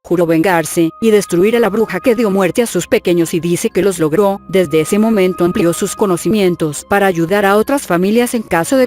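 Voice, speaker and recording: A narrator giving a steady, measured reading of a scripted horror story. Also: mid-range voice. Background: faint music.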